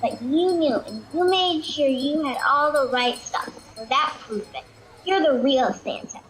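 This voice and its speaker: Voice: high voice, unhurried, a bit bright, slight background noise, rough recording. A young performer playing a confident, earnest child with an insistent manner and childlike grammar. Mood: sure, admiring.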